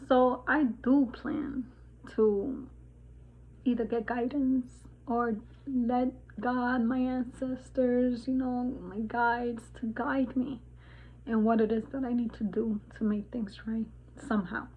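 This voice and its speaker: A woman's voice: on the high side, fairly even intonation, slow, husky. A woman in her forties from the Dominican Republic, chatting casually in a spiritual vlog, rambling with many fillers and laughs. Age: 40s. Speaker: vlogger. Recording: tinny phone-like sound.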